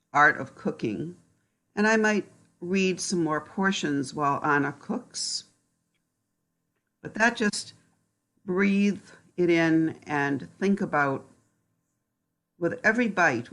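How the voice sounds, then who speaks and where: Slow, calm woman reading meditatively. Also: low voice. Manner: gentle, calm, deliberate. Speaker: guest reading on mindful eating.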